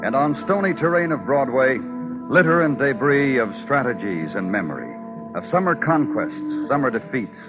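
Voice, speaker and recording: A man's voice: medium pitch, lively intonation, normal speed, close to an everyday voice. An actor playing a world-weary police detective who narrates his beat in dramatic, clipped, poetic phrases in the style of 1950s radio crime drama. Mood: somber, poetic. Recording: old radio recording, dull, music behind.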